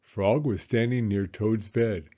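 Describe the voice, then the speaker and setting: A middle-aged man narrating for children in an expressive storytelling style. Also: lowish voice, slow, dull audio. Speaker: children's storyteller, elementary school teacher.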